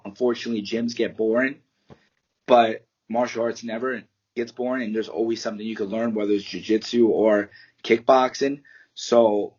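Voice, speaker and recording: A man talks through a candid, loose interview, rambling a little and swearing without a second thought. His voice has a husky edge to it, and the call audio is muffled and lo-fi. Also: lowish voice, darkish tone. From United States. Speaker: MMA fighter and martial arts teacher. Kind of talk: casual interview answers.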